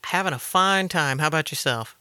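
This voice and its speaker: Man, radio host, higher-pitched voice, lively intonation, dry close sound, casual interview chat. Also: a little fast, no echo.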